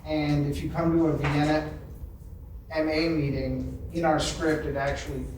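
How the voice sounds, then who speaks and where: A man's voice: on the high side, flat delivery, moderate pace. A man informally passing on information to a group meeting. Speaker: meeting member sharing information. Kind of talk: informal spoken announcement to a group. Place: large echoey indoor convention room. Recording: echoey room, distant mic, clear noise.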